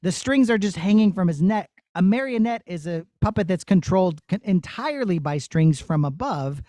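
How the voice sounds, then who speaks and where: High-pitched man explaining things to children. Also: expressive, moderate pace, warm tone, no room sound. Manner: explains step by step in simple child-friendly words. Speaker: puppeteer presenting his show. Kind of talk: demonstration and Q&A for children. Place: puppet theatre studio.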